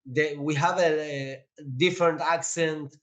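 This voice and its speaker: A man with an Argentinian accent and native Spanish, chatting as a guest and explaining things haltingly as he searches for words. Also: a little high, steady tone, fairly bright tone, muffled recording. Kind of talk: casual explanatory chat.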